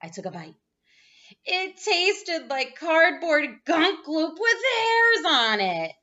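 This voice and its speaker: Female storyteller for young children, high bright voice, dramatic delivery, slightly dull lo-fi recording. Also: sing-song, takes it slowly. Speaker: teacher reading a picture book aloud. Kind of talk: animated children's read-aloud.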